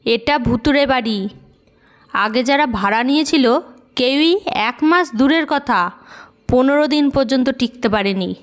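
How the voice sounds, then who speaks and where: A woman's voice: sits high, a little slow, no room sound. A woman playing an emphatic, stubborn young wife and mother in an audio story. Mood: eager, excited. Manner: eager, emphatic, chiding.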